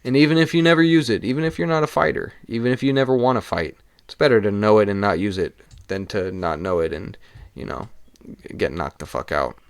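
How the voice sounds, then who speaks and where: Young man, hoarse worn-out voice, low pitch, dry close sound, casual swearing podcast host. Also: no room sound. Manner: casual and profane, talks fast in bursts. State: hoarse, losing his voice. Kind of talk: casual solo podcast breakdown.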